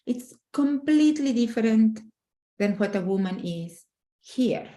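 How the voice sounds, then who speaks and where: A woman's voice: on the high side, expressive, a little slow, mellow. A middle-aged woman from Argentina, speaking earnestly and emphatically as a relationship coach in a casual interview, often restarting her phrases.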